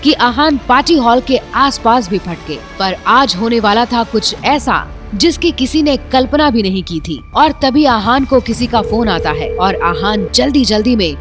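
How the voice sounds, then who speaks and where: A woman's voice: on the high side, lively intonation, unhurried, over music. A woman narrating a scripted audio drama with dramatic storytelling.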